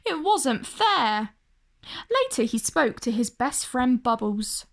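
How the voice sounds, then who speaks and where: A woman storyteller reading a picture-book tale aloud for children in an expressive narrating style. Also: sits high, slow pace.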